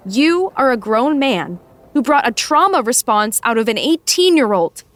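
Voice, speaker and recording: Blunt, higher-voiced exasperated assistant. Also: female voice, a bit bright, dry recording. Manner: firm, articulate, reproving, dryly sarcastic. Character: blunt, exasperated female assistant scolding her boss. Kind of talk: naturalistic audio drama acting.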